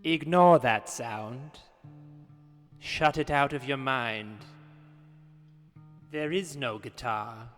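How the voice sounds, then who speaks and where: A man playing Juliet, a serene, stubborn new-age guru woman, speaking in slow, solemn, commanding phrases as in an improvised comedy meditation. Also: mid-range voice, a bit bright, big-room sound, soft background music. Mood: solemn, hypnotic.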